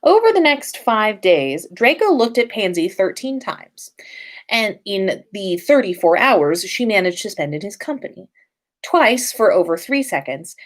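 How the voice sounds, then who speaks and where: A woman narrating a story aloud in an expressive style, as a podcast host reading fiction. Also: medium pitch.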